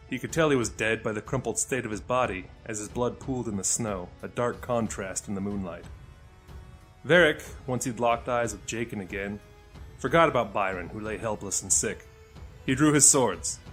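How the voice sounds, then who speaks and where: A man's voice: medium pitch, a bit bright. A man reading scripted fantasy fiction as the narrator of an audio drama.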